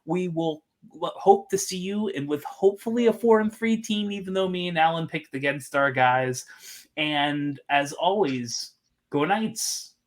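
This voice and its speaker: Podcast host, medium pitch, casual sports banter, joking, teasing. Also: measured pace.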